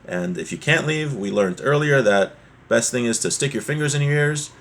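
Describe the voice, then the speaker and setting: A man giving a religious lesson as a teacher, explaining a text point by point and repeating key ideas, in a husky voice. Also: mid-range voice, lively intonation, moderate pace, fairly bright tone. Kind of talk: Torah lesson, reading Hebrew text and explaining it.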